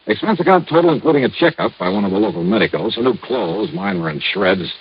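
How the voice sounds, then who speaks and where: An actor playing a tough, wry insurance investigator, narrating tersely and dramatically in old radio detective style. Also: male voice, fairly low pitch, very animated, fast, gravelly, a little hiss or hum. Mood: brisk, wry. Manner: terse, dramatic, hard-boiled. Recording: muffled vintage radio recording.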